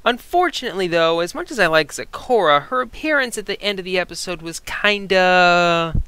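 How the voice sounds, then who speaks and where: A man co-hosting a review in a conversational but scripted style, with an animated, emphatic manner. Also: sits high, normal speed, smooth, no echo. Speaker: co-host reviewer.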